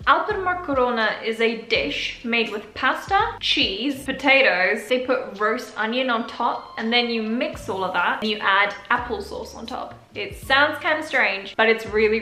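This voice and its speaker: A young woman from Switzerland with an English accent that shifts between American and British, chatting upbeat through a vlog Q&A. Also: on the high side. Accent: mix of American and British English. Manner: chatty and upbeat, trails off with soft asides. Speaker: YouTuber answering viewer questions. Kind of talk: casual Q&A vlog. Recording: echoey room.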